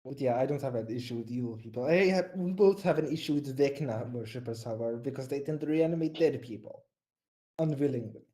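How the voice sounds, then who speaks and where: Man, mellow dark voice, medium pitch, casual tabletop gamer talk. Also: fast. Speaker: tabletop role-playing player. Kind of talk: casual chat about game characters.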